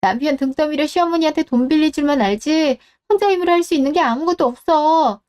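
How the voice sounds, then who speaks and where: A woman's voice: high voice, fast, darkish tone, natural voice. A woman playing a blunt, exasperated middle-aged daughter who nags and complains in a radio drama. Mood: indignant. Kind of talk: Korean radio-drama story acting.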